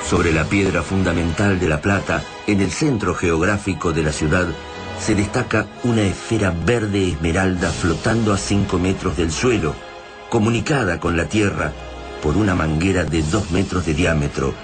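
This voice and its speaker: A man with an Argentine accent narrating a science fiction radio drama in a solemn, measured, dramatic way. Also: deep voice, lightly acted. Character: solemn male narrator of an alien invasion tale. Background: music.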